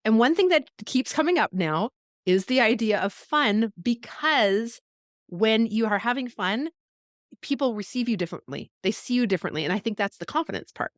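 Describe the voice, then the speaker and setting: A woman's voice: fairly high pitch, expressive, normal speed, no echo, studio quality. A woman hosting a podcast, talking in a casual, reflective interview style. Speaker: podcast host.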